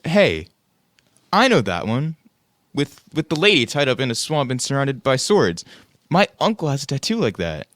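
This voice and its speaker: Animated cocky young guy played by a man. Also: mid-range voice, normal speed. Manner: casual, stammering when defensive. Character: cocky, clueless, self-justifying young guy, Harlan. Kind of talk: audio play acting.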